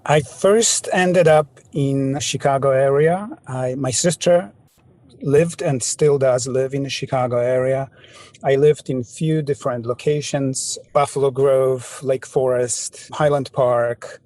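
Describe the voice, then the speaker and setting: A man's voice: medium pitch, takes it slowly, a little mellow, no room sound. Polish-accented man in his sixties. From Poland. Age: 60s. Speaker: textile artist guest. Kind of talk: conversational interview.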